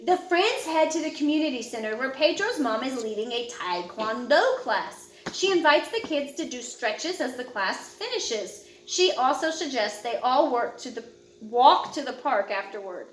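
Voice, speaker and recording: A woman narrating a children's picture book in a measured, instructional way, phrasing clearly for young listeners. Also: sits high, very animated, echoey room, a little hiss or hum, rough recording. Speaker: audiobook narrator.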